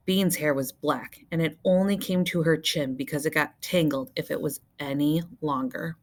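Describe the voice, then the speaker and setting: A woman with a low voice narrates a children's book. She reads like a teacher to young listeners, expressively, with deliberate emphasis on key words. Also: steady tone, a little mellow. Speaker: teacher reading aloud to children.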